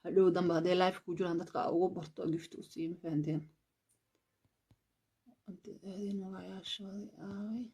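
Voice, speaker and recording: A woman's voice: lowish voice, level tone, fairly quick, pauses often, dark tone, slightly rough. A woman from Somalia, chatting casually on a livestream, switching languages and thanking viewers for gifts. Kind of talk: casual live-stream chat.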